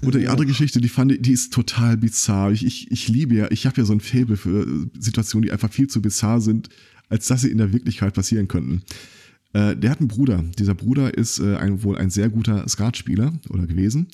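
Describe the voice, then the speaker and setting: A man talks in a low register with a warm, dark tone. He hosts a casual podcast and tells his stories loosely, full of fillers and restarts. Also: quick pace, breathy edge. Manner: rambling storyteller, many fillers and restarts. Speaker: podcast host.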